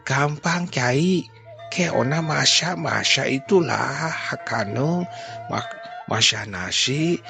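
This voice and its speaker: A man's voice: on the high side, gravelly, pitched above the performer's own voice. A man putting on a sing-song shopkeeper with clipped speech. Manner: slow, sing-song, clipped mispronounced words. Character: chatty older male shopkeeper, polite, clipped broken speech. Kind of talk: storytelling, acted dialogue. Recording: music playing underneath.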